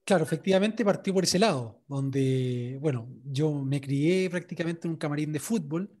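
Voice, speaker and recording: A sports journalist being interviewed, telling his story in a relaxed way, with many fillers and restarts. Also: on the high side, moderate pace. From Chile. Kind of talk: interview answers.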